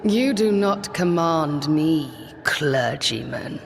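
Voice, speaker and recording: An actress playing a menacing, mocking nightmare spirit in a game, with taunting, deliberate delivery. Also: female voice, sits low, animated, gravelly, background noise, heavily acted. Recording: loud music over the voice.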